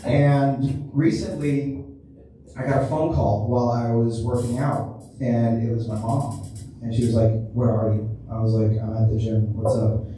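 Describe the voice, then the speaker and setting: A man's voice: mid-range voice, level tone, unhurried, warm tone, slight background noise. A man doing a stand-up routine in a small theater, telling a long story in a loose, rambling way. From Cuban family. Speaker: stand-up comedian. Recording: echoey room from far off.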